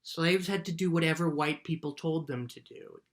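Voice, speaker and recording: Actress as a patient mom explaining stuff to a kid, calm, measured, storybook reading. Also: female voice, sits low, close to an everyday voice. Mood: gentle, serious. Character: calm, patient mother explaining to her child. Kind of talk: dramatic children's book reading.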